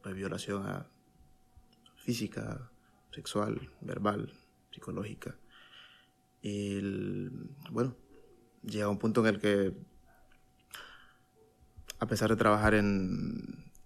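A man in his thirties from Honduras, giving a recorded personal testimony in a measured way, with pauses and fillers. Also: lowish voice, little pitch movement, creaky. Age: 30s.

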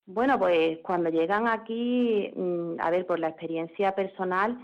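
A woman's voice: fairly low pitch, a little slow, dull audio. A woman lawyer who is a guest on a radio show, explaining at length and often restarting her phrases. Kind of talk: radio interview.

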